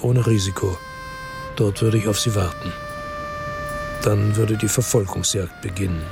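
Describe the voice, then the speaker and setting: Gravelly hard-boiled crime narrator, music underneath. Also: male voice, fairly low pitch, fairly even intonation, measured pace. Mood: brooding, resolute. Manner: terse, brooding. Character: hard-boiled man narrating his own revenge, fatalistic and resolute. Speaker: first-person narrator. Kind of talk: radio drama crime narration.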